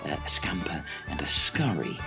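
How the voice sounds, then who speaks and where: A man acting a hugely deep, gravel-throated pedant in a radio play. He is halting, fussy and over-polite, with music underneath. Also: very animated, full character voice. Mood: apologetic pedantry. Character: hesitant, pedantic, apologetic male interrupter.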